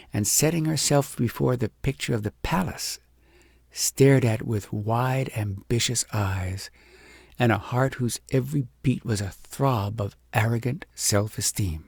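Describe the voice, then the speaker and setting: A man narrating an audiobook in an expressive storybook style, with dramatic, deliberate phrasing. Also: medium pitch, gravelly, husky, no room sound, clean studio sound.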